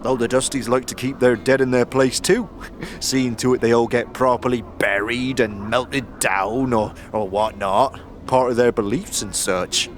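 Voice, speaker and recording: A man's voice: medium pitch, steady tone, a little slow, a little hiss or hum, lightly acted. A man playing a cheery, chatty ragged corpse collector in an audio drama, upbeat, rambling and slangy. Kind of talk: audio drama character acting.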